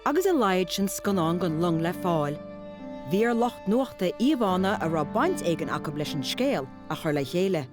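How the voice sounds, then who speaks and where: Irish woman narrating, lively and low. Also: brisk. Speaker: documentary narrator. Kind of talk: scripted narration. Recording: voice-over with music behind. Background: music.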